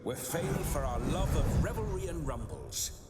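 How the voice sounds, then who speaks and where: Boisterous rowdy ghost. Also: male voice, on the high side, wildly swooping pitch, unhurried, very noisy, full character voice. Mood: jovial. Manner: jovial, boastful and theatrical. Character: boisterous ghost of an old nobleman brawler. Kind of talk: video game cutscene acting. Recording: game audio with reverb and music.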